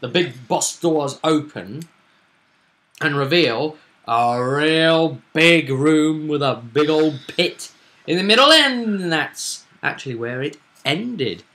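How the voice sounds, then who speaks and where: Bright-voiced man recapping a tabletop game. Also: a little high, animated, takes it slowly. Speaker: host and game master recapping a tabletop session. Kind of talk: casual recap discussion of a tabletop roleplaying game.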